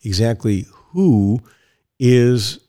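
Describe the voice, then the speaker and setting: A man hosting a solo podcast, his voice hoarse and raspy from strained vocal cords, talking in a rambling, digressive way with repeated words and restarts. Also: medium pitch, lively intonation, extremely slow, warm tone. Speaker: podcast host.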